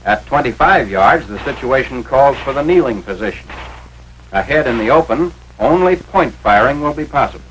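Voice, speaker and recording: A man's voice: medium pitch, animated, moderate pace, fairly bright tone. An American man delivering authoritative, instructional 1940s military training film narration in formal, clipped phrasing. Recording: noisy vintage 1940s film soundtrack.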